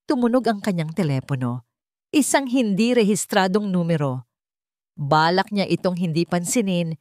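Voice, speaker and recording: A woman's voice: lowish voice, no room sound, clean studio sound. A woman reading a scripted dramatic story as its narrator.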